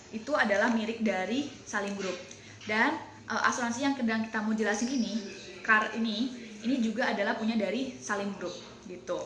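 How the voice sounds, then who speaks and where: A woman's voice: medium pitch, fairly bright tone. An Indonesian-accented woman presenting as a team leader, explaining casually and chattily while often checking in with listeners.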